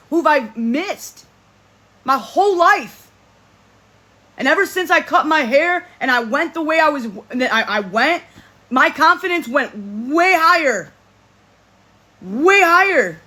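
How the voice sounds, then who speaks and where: A vlogger in their thirties talks straight to camera in a casual monologue. They say they have a deep voice, and they speak emphatically, often repeating and restarting phrases. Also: lively intonation, a little slow, pushed and bright. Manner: speaks in a self-described deep voice.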